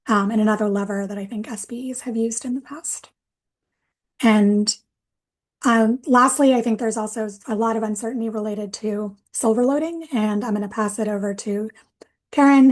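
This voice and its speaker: Woman presenting policy in a webinar. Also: a little high. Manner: explanatory, steady, with frequent fillers.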